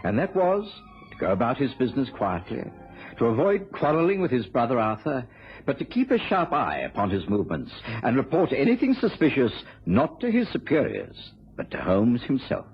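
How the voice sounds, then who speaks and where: Measured English gentleman narrator, muffled radio. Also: mid-range voice, huge pitch swings, slight background noise. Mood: composed. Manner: measured, storytelling. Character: genteel, measured gentleman companion narrating detective tale. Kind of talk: scripted narration in vintage radio drama. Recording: muffled old radio recording, music behind.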